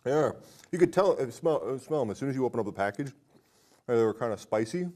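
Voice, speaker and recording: A man's voice: mid-range voice, lively intonation, quick pace, warm tone. A man hosting a casual film discussion in a chatty, jokey way. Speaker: podcast host.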